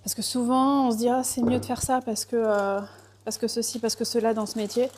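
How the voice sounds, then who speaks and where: A woman's voice: fairly high pitch, little pitch movement, brisk, a little mellow, slight background noise. A woman chatting casually, hesitant, with frequent fillers and restarts. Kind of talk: casual chat. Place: lives in Portugal.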